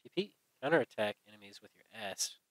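A man's voice: lowish voice, animated, fast talker, no echo, poor audio. A man giving casual, sweary gameplay commentary, mostly talking to himself as host. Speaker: let's play host.

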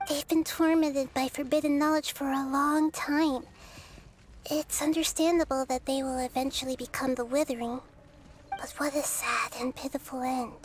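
A woman voicing a wise, gentle, childlike little goddess in an anime-style game, calmly explaining. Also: high voice, slight background noise, natural voice. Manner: calm, explanatory.

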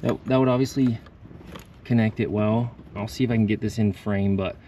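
A man's voice: fairly low pitch, steady tone, moderate pace, warm tone, slight background noise. A young man, a mobile mechanic, talking casually to the camera, venting about his work and explaining repairs step by step.

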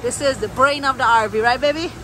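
A woman's voice: high-pitched, a little fast, pushed and bright. A playful woman with a Caribbean accent hosting a casual, teasing vlog from behind the camera, often giggling. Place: outdoors in a parking area. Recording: noisy outdoor ambience. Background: outdoor and vehicle noise.

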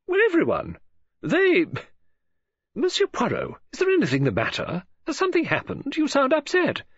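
A man voicing a chatty, excitable middle-aged woman novelist who talks in a gushing, coaxing way. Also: normal speed, no echo, heavily acted. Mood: fussing. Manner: gushing, coaxing, high breathy falsetto. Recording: dull lo-fi audiobook recording.

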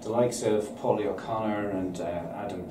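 A man's voice: fairly low pitch, steady tone, a little fast, recorded from a distance, background noise. A man, a club reporter putting questions to a manager after a match, running through results and player names. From Ireland. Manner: chatty, reels off results and names. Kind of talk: post-match interview questions. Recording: echoey room, off-mic, crowd behind.

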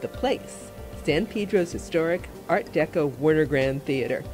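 A man narrating a radio documentary in a measured, scene-setting way. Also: on the high side, expressive, music in the background.

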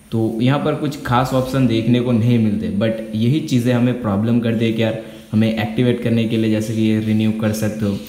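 A man's voice: lowish voice, warm tone, a little hiss or hum. A young man giving a casual, conversational tech tutorial, explaining step by step. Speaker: tech video host. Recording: echoey room with faint music. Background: faint music.

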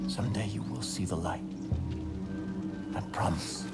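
An actor playing a middle-aged, fervent cult prophet and father in a video game, preaching in a measured, solemn manner over music. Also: on the low side, gravelly. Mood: gentle, firm. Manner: slow, measured, biblical preaching. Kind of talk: dramatic game cutscene acting, preaching. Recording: music under the voice.

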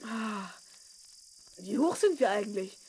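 A woman playing a curious, excitable twelve-year-old boy in a children's audio drama, wide-eyed and eager. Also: mid-range voice, measured pace, lightly acted. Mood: amazed. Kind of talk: 1970s German children's audio drama acting.

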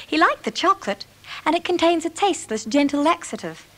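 A woman's voice: high-pitched, animated, a little fast, fairly bright tone. A woman playing a caring, pleased mother in a radio commercial. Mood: pleased, confiding.

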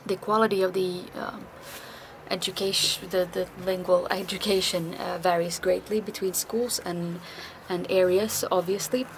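A woman's voice: on the low side, level tone, normal speed. Blunt Finland-Swedish woman on a noisy balcony. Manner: candid, rambling, blunt with swearing. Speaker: vlogger. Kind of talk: casual talking-head vlog. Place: outdoor balcony of an apartment block. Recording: noisy outdoor street ambience.

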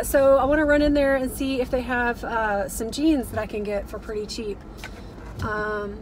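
A woman's voice: fairly high pitch, slight background noise, rough recording. A middle-aged woman chatting casually to viewers in a rambling, chatty way, recorded inside a car. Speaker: vlogger, thrift reseller.

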